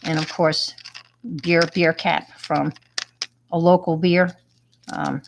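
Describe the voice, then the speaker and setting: Chatty New York woman, low voice. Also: level tone, slow, vocal fry, background noise. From Long Island, New York. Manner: rambling, self-deprecating, chatty with fillers. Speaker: retired teacher and hobby artist. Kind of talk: casual show-and-tell chat.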